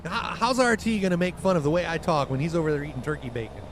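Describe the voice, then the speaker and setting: A man's voice: on the high side, animated, fast talker, background noise. Rambling man streaming. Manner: rambling, filler-heavy, blunt. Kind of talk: casual live-stream chat.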